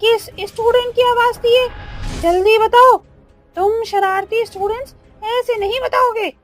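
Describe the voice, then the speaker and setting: A woman's voice: extremely high pitch, fairly even intonation, unhurried, soft background music. A woman voicing the vengeful ghost of a strict schoolteacher in a horror story, scolding and menacing. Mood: scolding, threatening. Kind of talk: horror cartoon story acting.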